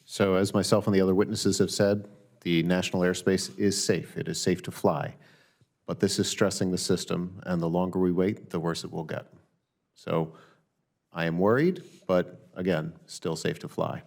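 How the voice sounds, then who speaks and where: A man giving formal testimony as an expert witness in short, measured statements. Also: low-pitched, steady tone, creaky, darkish tone.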